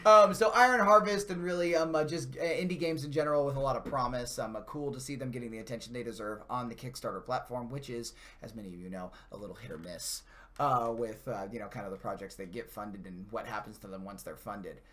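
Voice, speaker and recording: A man's voice: mid-range voice, quick pace, fairly bright tone. A man hosting a gaming live stream, talking casually and enthusiastically in a loose, rambling, slangy way. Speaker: host of a video game channel's live stream.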